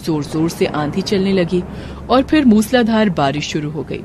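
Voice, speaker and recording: A woman's voice: fairly low pitch, expressive, normal speed, darkish tone, soft background music. A woman narrator delivering dramatic, scripted storytelling for a cartoon.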